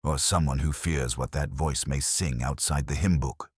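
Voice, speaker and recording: A man's voice: very deep voice, moderate pace, husky, no room sound, studio quality. A man voicing a mild, cryptic police inspector in a detective story, speaking in a formal, musing way. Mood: grave, musing.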